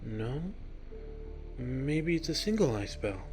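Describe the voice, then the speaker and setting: A man's voice: mid-range voice, sing-song, slow pace, a little mellow, soft background music, pitched above the performer's own voice. A man voicing a friendly, curious young bookish woman in a story reading, given to puzzled questions. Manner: thinks aloud in puzzled questions.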